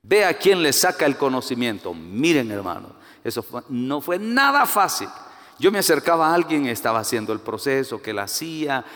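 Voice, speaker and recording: Animated Guatemalan preacher in a hall. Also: male voice, mid-range voice, normal speed. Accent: Guatemalan Spanish. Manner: animated, rises to emphatic peaks, acts out quoted voices. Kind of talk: sermon with personal anecdotes.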